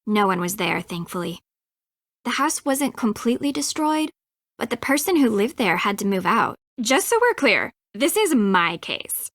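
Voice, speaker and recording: A woman's voice: a little high, big pitch swings, normal speed, a bit bright, no room sound, clean studio sound. An actress voicing a snippy, defensive young American woman in an adventure game, sarcastic, clipped and emphatic. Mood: defensive, firm. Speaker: video game character, voice actress. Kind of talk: acted dialogue in an adventure game.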